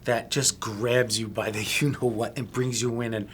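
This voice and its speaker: A man's voice: medium pitch, level tone, moderate pace, a bit bright, husky. A male magazine editor hosting a casual interview, upbeat and chatty as he talks up his guest.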